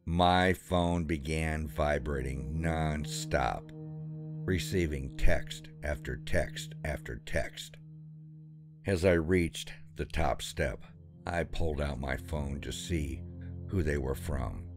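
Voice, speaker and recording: Very deep, slow male horror narrator. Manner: slow, measured, ominous storytelling. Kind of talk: scripted horror story narration. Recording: voice-over with music bed.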